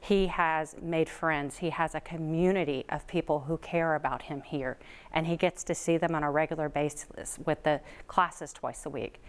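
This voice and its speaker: A woman's voice: low voice, fairly even intonation, moderate pace, clean tone. A woman giving a heartfelt testimonial at a fundraiser, speaking earnestly and with emphasis. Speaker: board secretary and event chair.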